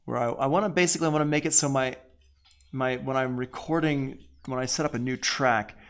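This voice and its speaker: A man's voice: mid-range voice, fairly quick. A man teaching audio production in a casual live online tutorial, thinking aloud informally as he works.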